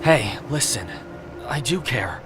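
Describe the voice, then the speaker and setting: A man plays a reserved death god in an audio drama, brooding and understated. He speaks slowly at a middling pitch, with music clearly behind him. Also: big pitch swings. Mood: concerned.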